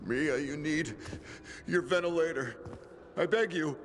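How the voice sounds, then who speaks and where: Male actor, older scientist villain, slow coaxing menace, noisy cutscene. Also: a little high, close to an everyday voice. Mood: pleading. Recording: noisy game cutscene audio.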